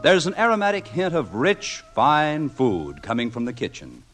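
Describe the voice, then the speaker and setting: A man's voice: medium pitch, sing-song, normal speed, fairly bright tone. A man announcing and narrating a 1950s radio comedy in a polished storytelling manner. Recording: vintage radio broadcast with music.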